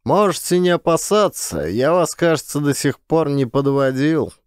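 A man's voice: fairly high pitch, expressive, moderate pace, darkish tone, clean tone, no echo, clean studio sound, voice pushed up, lightly acted. A man voicing a sly, ironic Soviet camp official in an audiobook, with an insinuating, officious manner. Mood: confiding, ironic. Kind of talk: audiobook character dialogue.

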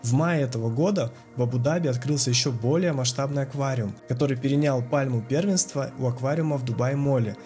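A man reads scripted travel facts in an even, informative voice-over. Music plays clearly beneath his voice. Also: mid-range voice, quick pace, hardly any pauses. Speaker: voice-over narrator. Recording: music under the voice.

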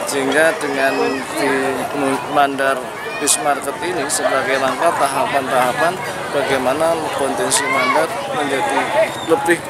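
A middle-aged man, a local official giving a halting interview outdoors at a festival and searching for his words. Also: fairly high pitch. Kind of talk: interview on camera. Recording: noisy outdoor crowd.

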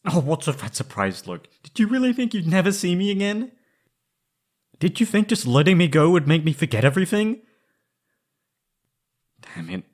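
Man acting an anguished lovesick young man, swooping pitch. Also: big pitch swings. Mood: agitated, hurt. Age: young adult. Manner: emotional, halting, pleading. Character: anguished, lovesick young man, former captive confessing love. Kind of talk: intimate roleplay audio acting.

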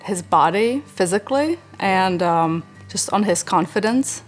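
A woman's voice: mid-range voice, lively intonation, measured pace, soft background music. A woman in her forties from the Czech Republic, speaking earnestly and reflectively in an interview from a living room sofa. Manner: earnest and reflective, gesturing as she talks. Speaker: parent interviewee. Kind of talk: interview testimonial.

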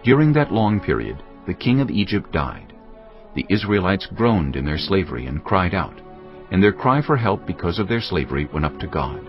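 A man narrating scripture in a measured, solemn way. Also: sits low, sing-song. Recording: dull studio track under music.

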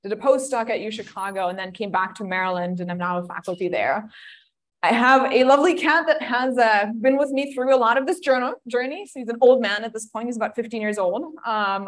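A woman's voice: fairly high pitch, brisk. A woman from Germany giving a friendly, chatty academic seminar talk with many ums. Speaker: guest lecturer.

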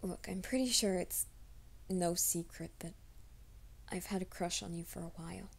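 A woman voicing a shy, flustered young male werewolf in an ASMR roleplay, speaking in a soft half-whisper with a hesitant, stammering delivery. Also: lowish voice, moderate pace, vocal fry, breathy edge. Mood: flustered pleading. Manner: hesitant, stammering, self-conscious.

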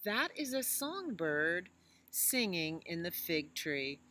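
Gentle storybook mama elephant, played by a woman, patient and instructive, unhurried with animated pitch. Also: slight background noise, full character voice. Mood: gently encouraging. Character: patient, gentle mother elephant teaching her little one.

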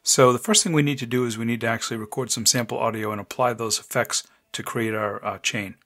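Deep-voiced man walking through software steps. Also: quick pace. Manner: relaxed, talks through each click step by step. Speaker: software tutorial host. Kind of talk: casual screencast tutorial. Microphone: desk microphone, voice-over of screen recording.

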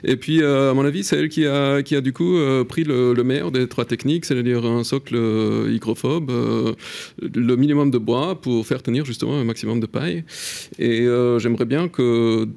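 A man's voice: medium pitch, moderate pace, gravelly, darkish tone. A middle-aged man speaking as a panel guest in a radio studio, thoughtful and wry, with many fillers. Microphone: studio mic on stand.

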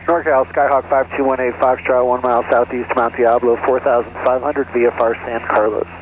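A man makes aviation radio calls in clipped, formulaic phrasing. His headset sound is dull and narrow, with faint aircraft noise underneath. The pitch is ordinary for a man. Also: flat delivery, fairly quick. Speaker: pilot making radio calls.

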